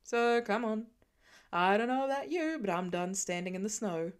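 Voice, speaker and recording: A woman's voice: medium pitch, animated, moderate pace, clean tone, lightly acted. A woman voicing a confident, wisecracking grown man who talks in a dry, teasing way. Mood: wry. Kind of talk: audiobook fan reading.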